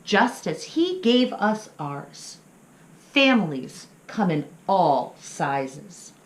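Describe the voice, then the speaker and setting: A woman voicing a kindly, wise father wolf in a storybook, measured and reassuring. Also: on the low side, sing-song, heavily acted. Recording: roomy, echoing sound.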